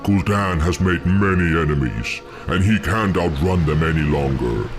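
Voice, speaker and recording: A man playing a solemn, wise orc seer in a fantasy game, talking in a measured, ominous way. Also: sits low, lively intonation, music in the background. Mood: solemn, foreboding.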